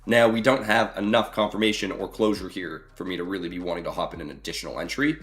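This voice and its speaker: Hoarse low-voiced man streaming live. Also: steady tone, fast talker, fairly bright tone. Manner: casual, many fillers, talks to chat. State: hoarse, voice going rough. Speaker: trading streamer. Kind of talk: live stream commentary to chat.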